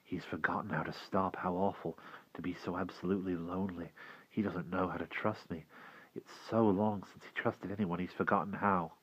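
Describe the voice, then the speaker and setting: A gentle, earnest young boy, as a male narrator reads him, soft and reassuring. The low, husky voice sits on a dull, muffled recording. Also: steady tone, measured pace, hardly any pauses.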